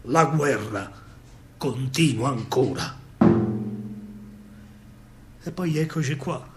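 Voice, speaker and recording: A man with a Roman accent performing a theatrical verse monologue as a soldier, declamatory and full of pauses. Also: medium pitch, animated, loud music behind the voice. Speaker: stage actor and storyteller.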